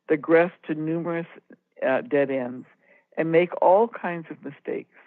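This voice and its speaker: Halting woman on a phone-like line. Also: low-pitched, no room sound. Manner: halting, measured, pausing mid-phrase. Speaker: radio show co-host. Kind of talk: reflective anecdotal talk radio discussion. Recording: tinny, narrow phone-like line.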